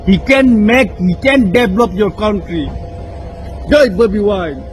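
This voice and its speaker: A man from Nepal with a Nepali accent, making an earnest video appeal in halting, repeated short phrases. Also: sits high, lively intonation, faint music behind. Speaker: person in a played video clip.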